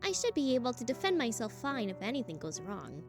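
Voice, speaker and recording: A woman's voice: on the high side, big pitch swings, brisk. A woman acting an exasperated but concerned young huntress in a fan fiction audio drama. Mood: uncertain, reassuring. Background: music behind the voice.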